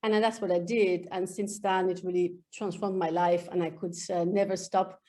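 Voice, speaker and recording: A woman whose first language is Farsi, answering as a guest on a video-call interview about her tapping practice, her pitch often lifting as she tells a story. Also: little pitch movement, moderate pace, dark tone.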